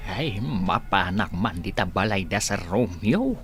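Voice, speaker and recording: A man's voice: deep voice, lively intonation, a little slow, soft background music. A man acting a humble, friendly villager in an Ilocano radio drama, with an Ilocano Filipino accent. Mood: earnest.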